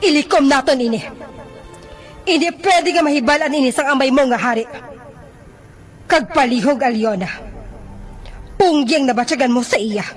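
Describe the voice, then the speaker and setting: A woman as a high-voiced, stern queen mother. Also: moderate pace, long pauses, soft background music, natural voice. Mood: stern, worried. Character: stern, protective harpy queen, mother of the princess. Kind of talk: radio drama acting.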